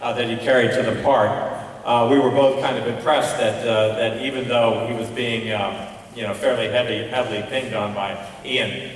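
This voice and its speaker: A man giving informal spoken feedback as an awards judge, halting as he searches for words. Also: mid-range voice, fairly even intonation, a little hiss or hum. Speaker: festival adjudicator giving feedback on plays. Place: large hall at a school ceremony. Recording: very echoey hall, distant microphone.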